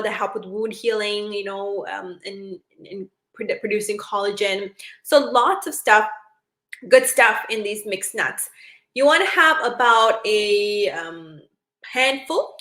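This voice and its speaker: A woman's voice: medium pitch, sharp tone, echoey room. A woman from Sri Lanka, a doctor, giving a casual talk on healthy foods, chatty, with plenty of fillers and repeated words.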